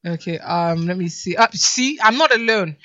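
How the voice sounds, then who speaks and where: A woman's voice: fairly low pitch, lively intonation, moderate pace, sharp tone. A Nigerian woman co-hosting a casual radio show, teasing and laughing a lot as she talks. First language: a Nigerian language. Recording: dull lo-fi broadcast sound.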